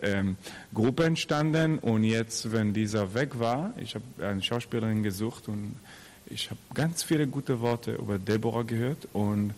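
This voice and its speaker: A man's voice: medium pitch, a little mellow. A man from Israel with an Israeli accent, an actor answering interview questions in an informal, anecdotal way. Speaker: actor and theatre maker.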